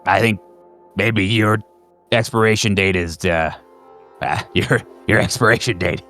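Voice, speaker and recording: A man's voice: fairly low pitch, unhurried, a bit bright, faint music behind. A man playing a nervous handler in a roleplaying game, stammering and evasive as he makes veiled threats. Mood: halting, placating.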